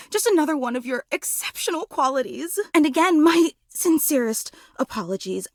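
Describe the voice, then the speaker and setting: High-pitched, gushing female superfan. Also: dry recording, clean studio sound. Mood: adoring, awed. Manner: breathless gushing, flustered stammering and giggles. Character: giddy, starstruck female electric fan, adoring and apologetic superfan. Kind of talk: video game character acting.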